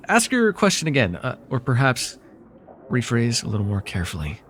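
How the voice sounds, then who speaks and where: A taunting, flirtatious villain of nightmares, acted out by a man for fantasy ASMR. The mid-pitched male voice is theatrical and very animated, teasing with mock sweetness. A little background noise sits under the recording. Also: brisk. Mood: playful, menacing. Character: villainous nightmare lord, sly, taunting, flirtatious dream invader. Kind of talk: ASMR fantasy roleplay acting.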